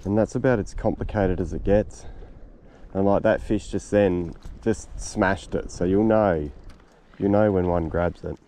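An Australian man in his thirties talks slowly and easily while wading a sand flat. His voice sits low and warm, and he gushes over each catch in a drawn-out way. Faint outdoor noise is heard behind him. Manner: relaxed, enthusiastic, drawn-out praise. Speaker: fishing show host. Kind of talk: casual outdoor commentary. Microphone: camera-mounted mic, selfie and chest cam.

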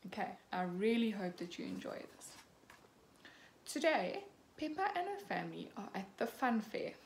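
A young woman in her twenties, a teacher, reading a picture book aloud to children slowly and clearly, acting out the characters. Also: medium pitch, sing-song. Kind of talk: children's storybook read-aloud. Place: small room at home, indoors. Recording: echoey room, faint background hiss.

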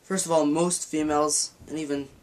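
A man's voice: fairly high pitch, level tone, normal speed, darkish tone, rough recording. A man teaching a card trick in a casual tutorial, rambling and correcting himself as he handles the cards at a table in a dim room. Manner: rambling, self-correcting, demonstrating while talking. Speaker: magic teacher.

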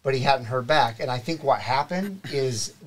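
A man's voice: medium pitch, animated, moderate pace, slight background noise, poor audio. A middle-aged man hosting a live car podcast, greeting viewers and reading sponsor plugs in an upbeat way. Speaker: show host. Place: studio set with desk mics. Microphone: desk mic on boom arm.

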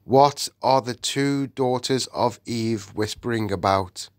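A man voicing a majestic lion king, slow and grand. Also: mid-range voice, no echo, clean studio sound, lightly acted. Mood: warm. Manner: slow, grand, solemn delivery. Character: majestic male lion king, solemn and commanding.

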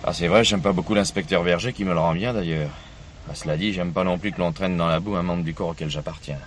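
An actor playing a stiff, dutiful subordinate police officer in a French radio play, formal and guarded. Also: male voice, low voice, fast talker, close to an everyday voice. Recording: noisy archive drama recording, vehicle ambience.

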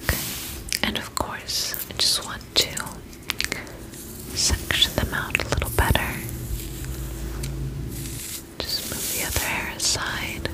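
A woman whispering gently and reassuringly in a breathy voice, as a spa attendant in an ASMR roleplay. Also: slow, long pauses, rough recording. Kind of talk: ASMR roleplay, personal-attention service talk.

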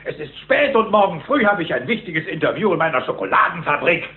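An actor playing a stern, blustering old rich uncle duck in a cartoon audio drama, irascible and scolding. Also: male voice, fairly high pitch, big pitch swings, a little fast. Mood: scolding, indignant. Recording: narrow-band echoey old cassette.

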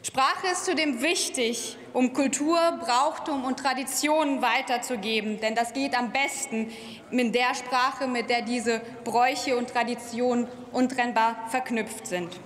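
A woman's voice: fairly high pitch, steady tone, measured pace, fairly bright tone. A young woman gives a formal, earnest prepared speech in parliament, speaking at a lectern microphone. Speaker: member of parliament and government commissioner. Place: large parliament chamber. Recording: roomy, echoing hall.

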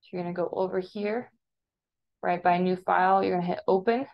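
An American woman teaching a step-by-step software tutorial in an informal, instructive way. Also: fairly low pitch, fairly even intonation, a little fast, gravelly, lo-fi sound. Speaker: digital design teacher. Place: voice-over for a screen recording.

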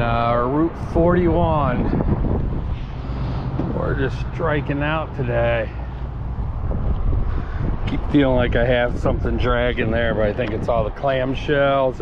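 Man, slow offhand talk, outdoor pier, muffled action-cam sound, loud wind and water behind. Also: medium pitch. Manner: slow, offhand commentary with dry jokes. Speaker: magnet fishing vlogger. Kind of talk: casual narration while magnet fishing. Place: outdoors on a wooden pier over a river. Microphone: head-mounted action camera.

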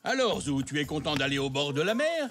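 A woman voicing a calm, kind grandpa zebra in a cartoon, patiently explaining things. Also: sits low, a little fast, faint music behind. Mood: gentle. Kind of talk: French cartoon dubbing.

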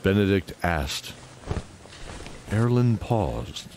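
A man's voice: low-pitched, very animated, very slow. A man narrating a scripted fantasy audio drama. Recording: clear background noise and ambience.

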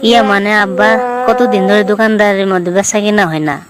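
Lovesick young cartoon lad played by a woman: naive, earnest, eastern Bengali dialect, middling pitch, loud music underneath. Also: steady tone, quick pace, close to an everyday voice. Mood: worried. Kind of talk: cartoon voice acting.

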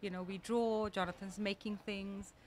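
A woman's voice: medium pitch, fairly even intonation, moderate pace, darkish tone. A middle-aged woman from India talks about her work and home life, laughing easily and stressing her points. She speaks into a handheld mic held out by an interviewer in a spacious indoor room, with faint ambient noise behind her. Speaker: designer, interview guest. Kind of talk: casual interview answers. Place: large indoor showroom.

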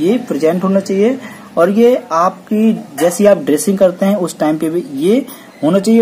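A woman giving a technical lesson on welding. She reads each item off a checklist and explains it, with a steady "okay" after every point. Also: medium pitch, a little hiss or hum. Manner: repeats "ठीक है" after each point, reads English terms then explains in Hindi. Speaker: welding instructor.

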